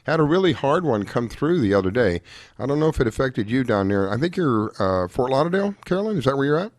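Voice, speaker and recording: Hoarse man hosting a radio show. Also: mid-range voice, very animated, fast talker, dry recording. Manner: chatty, rambling, with frequent restarts and fillers. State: hoarse after a late night. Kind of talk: casual talk-radio chat.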